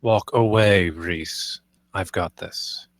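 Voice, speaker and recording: A man's voice: low-pitched, takes it slowly, no room sound. A man playing a stern, curt lord of a manor in a screenplay read, terse and commanding.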